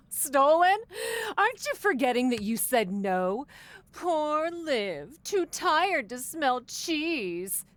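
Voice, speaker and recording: A woman's voice: high voice, sing-song, drawn-out words, long pauses, dry recording, heavily acted. An actress playing a brash, vain young woman who manages wrestling shows, with a smug, sardonic, theatrical delivery in a video game cutscene. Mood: smug, mocking.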